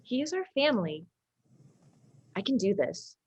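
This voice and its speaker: A woman playing a fiercely maternal vampire sister, defiant yet tender, in a husky voice for a play script reading. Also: mid-range voice, very animated, a little slow, darkish tone, full character voice. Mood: pleading, defiant. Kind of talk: dramatized livestream script reading.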